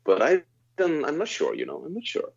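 A man in his thirties with a very deep voice, answering interview questions in a halting, self-correcting way. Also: wildly swooping pitch, gravelly, slightly rough. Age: 30s. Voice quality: very low, deep voice. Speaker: guest vocalist. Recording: slightly dull lo-fi sound.

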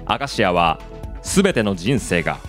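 A man playing a formal corporate announcer in a radio drama's product advertisement, measured and promotional. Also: deep voice, very animated, fairly bright tone, faint music behind, exaggerated voice. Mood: polished promotional. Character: polished male corporate announcer launching a futuristic product.

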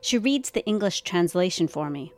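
A woman radio host giving calm, even, scripted documentary narration. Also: on the low side, normal speed, soft background music.

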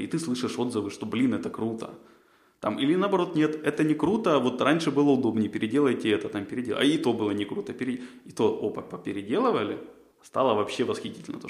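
A man with a fairly low voice talks quickly, answering interview questions as a job candidate. He rambles, piling up fillers and restarting phrases mid-word. His voice echoes a little in the room. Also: gravelly. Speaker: job candidate, podcast co-host.

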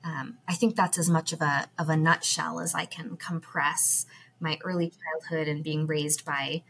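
A young woman with a slightly low voice, talking as a podcast guest. She speaks thoughtfully and pauses on fillers. There is a faint hiss behind her. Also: fairly even intonation, vocal fry, fairly bright tone, poor audio. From United States. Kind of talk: podcast interview.

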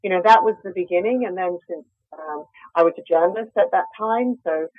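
A woman's voice: lowish voice, a little fast, dry recording. Australian lady on a crackly phone call, lots of uhs, dry sound. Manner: hesitant, many uh fillers. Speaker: guest, company founder. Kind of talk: interview over the phone. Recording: tinny phone line, dry.

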